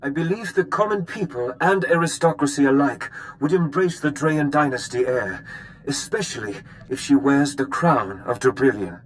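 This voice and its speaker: An actor playing a formal, courteous and idealistic count in video game dialogue. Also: male voice, medium pitch, expressive, normal speed, slight background noise, close to an everyday voice, low-quality recording. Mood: grave, persuasive.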